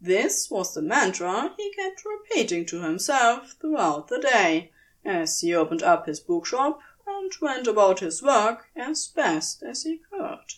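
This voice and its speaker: A woman reading scripted fan fiction as narrator, in a measured, expressive storytelling manner. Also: mid-range voice.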